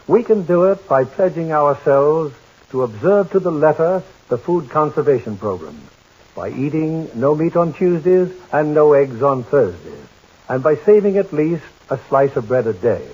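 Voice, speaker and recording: Polished 1940s radio host, husky. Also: male voice, a little high, darkish tone. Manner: polished, courteous, measured. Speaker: radio drama host and producer. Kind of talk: 1940s formal radio hosting. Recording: muffled 1940s radio broadcast.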